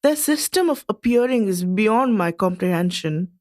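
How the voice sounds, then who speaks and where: A woman's voice: medium pitch, lively intonation, normal speed, darkish tone, a little hiss or hum, close to an everyday voice. An actress playing an admiring, curious Indian lady traveller in Edwardian England, reading her diary with formal, precise expression for a radio play. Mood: impressed, curious. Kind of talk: scripted dramatic reading of a diary.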